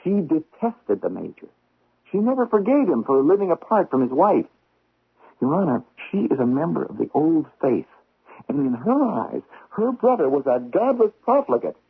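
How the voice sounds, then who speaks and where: Excitable high-voiced investigator, very animated. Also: male voice, normal speed, gravelly, husky, heavily acted. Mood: insistent, heated. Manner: agitated, insistent, declamatory. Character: excitable, stubborn young male investigator, impulsive and theatrical. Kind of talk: radio drama acting. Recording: dull 1970s radio drama recording.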